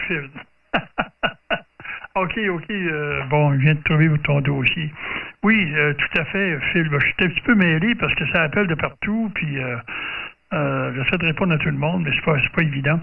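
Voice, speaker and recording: A man's voice: fairly high pitch, vocal fry. A man with a Quebec French accent chatting casually as he runs an amateur radio contact, hesitant and rambling, often repeating himself. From Quebec, Canada. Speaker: amateur radio operator running a group contact. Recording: muffled distant lo-fi radio audio.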